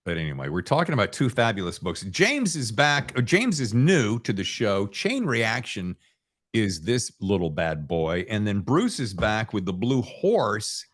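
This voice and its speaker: A man's voice: fairly low pitch, sing-song, measured pace, a bit bright. A man hosting a podcast in a casual, chatty way, with a jokey, informal manner and wandering asides. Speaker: podcast host.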